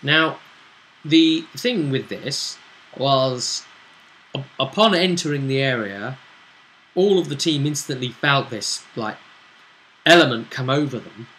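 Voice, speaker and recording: A man's voice: medium pitch, lively intonation, slow, pauses often, a bit bright, rough recording. A man casually recapping a tabletop role-play session as game master, rambling as he explains it.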